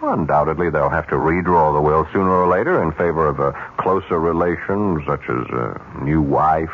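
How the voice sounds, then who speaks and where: Muffled noisy old radio broadcast, insinuating mystery man, very animated intonation, measured, evasive, radio drama. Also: a bass voice, full character voice. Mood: insinuating, persuasive. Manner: measured, coyly evasive, softly persuasive. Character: smooth, insinuating mysterious man making a shady offer. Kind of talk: 1970s radio drama acting.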